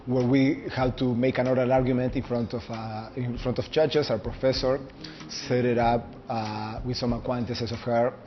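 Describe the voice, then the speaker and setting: A man in his thirties from Uruguay with a Uruguayan Spanish accent, a law student speaking slowly and carefully in a personal video statement, pausing to search for words. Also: mid-range voice, flat delivery, slight background noise. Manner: measured and deliberate, searching for words. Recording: muffled lo-fi recording with faint background music.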